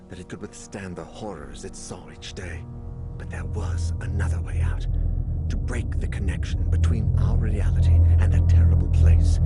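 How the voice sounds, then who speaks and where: Horror game lead, acted by a man: low, brooding male survivor, determined and dramatic, over a heavy music bed. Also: lightly acted. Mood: urgent. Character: haunted, desperate but determined male survivor narrating horror. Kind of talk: brooding scripted first-person game narration and dialogue.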